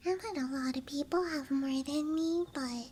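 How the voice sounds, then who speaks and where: A woman whispers in a slow, breathy ASMR style, rambling softly and a little hesitantly. Her voice is very high and mellow. A soft bed of night ambience sits faintly behind her. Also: slight background noise. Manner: soft, hesitant, musing, self-deprecating. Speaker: ASMR creator.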